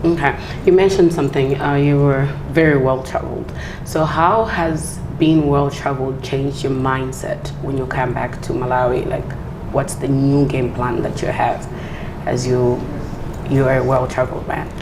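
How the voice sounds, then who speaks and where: A woman's voice: a bass voice, fairly even intonation, moderate pace. A woman hosting an interview, asking curious questions and giving short encouraging replies. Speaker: interview host. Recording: background noise.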